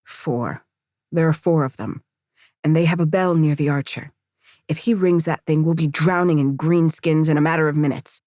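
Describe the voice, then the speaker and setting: A seasoned fighting woman played by an actress who leads her band with a curt, commanding air. Her voice is deep for a woman and closely recorded, matter-of-fact fantasy audiobook acting. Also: moderate pace, warm tone, no room sound, studio quality, close to an everyday voice. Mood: terse, tactical. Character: brisk, seasoned female leader of fantasy fighters.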